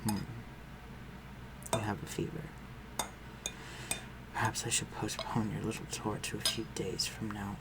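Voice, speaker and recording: A man playing an aristocratic vampire master in a scripted audio roleplay, speaking formally and with measured, condescending concern for the listener. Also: lowish voice, flat delivery, very noisy. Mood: reassuring, protective. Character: aristocratic male vampire master, civil, protective, coolly superior.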